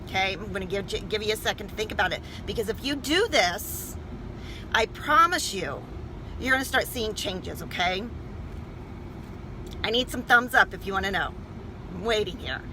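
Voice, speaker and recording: A woman's voice: medium pitch, animated, moderate pace, noisy background. A woman health coach talking informally and emphatically to her livestream viewers, often checking in with short questions.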